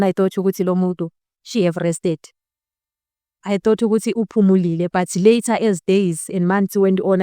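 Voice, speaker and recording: A young woman in her twenties gives a personal testimony in a Zimbabwean accent, with Ndebele as her first language. Her voice is smooth and a little low, in a dry, close sound. She speaks earnestly and slips between two languages. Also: dark tone, dry recording. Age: 20s. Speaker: interview guest. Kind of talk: personal testimony in a documentary-style interview.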